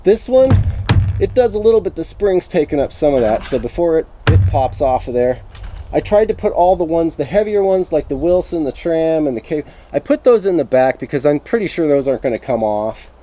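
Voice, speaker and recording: A man's voice: a little high, big pitch swings, a little fast, dark tone. A man narrating a casual, unscripted hobby vlog in a folksy, self-deprecating way, recorded on a camera mic. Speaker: vlogger presenting a hobby equipment test. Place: outdoors and around a vehicle, handheld or mounted camera. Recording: clear wind and vehicle noise.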